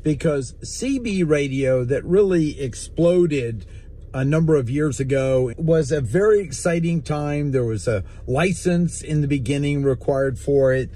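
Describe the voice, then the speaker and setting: Middle-aged man, mellow tone, husky, casual explainer, conversational, recorded in a car. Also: a little high, measured pace. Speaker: host, ham radio operator. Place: inside a parked car.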